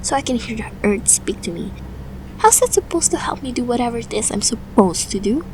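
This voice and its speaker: A woman's voice: fairly high pitch, lively intonation, brisk, a little mellow. Vulnerable young heroine played by a woman, noisy recording. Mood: frustrated. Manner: emotional, plaintive. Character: vulnerable, frustrated young woman with magical gift. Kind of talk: audio drama acting. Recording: noisy background hiss or ambience.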